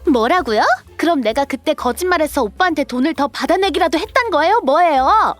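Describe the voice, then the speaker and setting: A woman's voice: sits high, expressive, a little fast, soft background music. A woman playing a brazen, money-grubbing younger sister-in-law, whiny and defiant, in a scripted audio drama. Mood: indignant.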